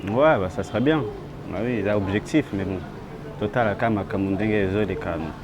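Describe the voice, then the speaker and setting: A young man from France, a rapper being interviewed outdoors, talking in a halting way and switching between French and Lingala. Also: lowish voice, a crowd in the background. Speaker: rapper guest. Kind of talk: street interview. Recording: outdoor street noise.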